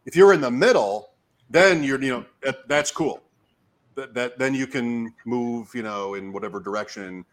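A man, hoarse from talking, hosting a casual fantasy sports show and commentating in short, fragmented phrases as he thinks out loud. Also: mid-range voice, moderate pace. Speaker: fantasy football show host giving draft advice. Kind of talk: casual talk, live draft commentary.

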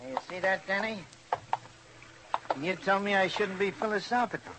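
A man's voice: high-pitched, normal speed, close to an everyday voice. A man playing a musing, philosophical police officer in an old radio drama. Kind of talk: 1950s radio drama acting. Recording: old radio recording with clear hiss.

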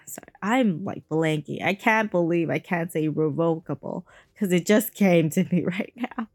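A woman's voice: fairly low pitch, moderate pace, darkish tone, no room sound, clean studio sound. A woman reading a novel aloud with casual asides, tripping over words and laughing at herself. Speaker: reader and host.

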